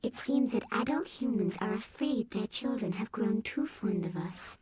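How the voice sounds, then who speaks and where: A woman voicing a loyal male robot teddy bear who talks softly in a breathy, whispery voice. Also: on the high side, lively intonation, normal speed, voice pushed up. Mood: soft conspiratorial.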